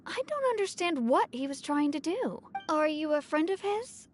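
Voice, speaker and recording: A woman's voice: sits high, big pitch swings, normal speed, slight background noise. An actress playing an anxious, tender mother in a video game, earnest and polite in her speech. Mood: concerned.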